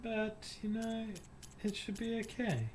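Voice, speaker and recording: Slow, soft-spoken New Zealand man. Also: high-pitched, animated, a little hiss or hum. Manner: soft-spoken, hesitant, many fillers, corny jokes. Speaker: streamer. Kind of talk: casual gaming livestream chat. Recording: loud game music behind.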